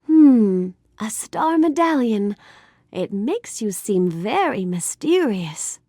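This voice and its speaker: A woman playing a sweet, curious, bookish young fairy-tale heroine in a video game, speaking with gentle, courteous wonder. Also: mid-range voice, takes it slowly, no room sound, studio quality. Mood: curious, intrigued. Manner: gentle, wonder-filled, courteous, expressive delivery. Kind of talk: animated game character dialogue.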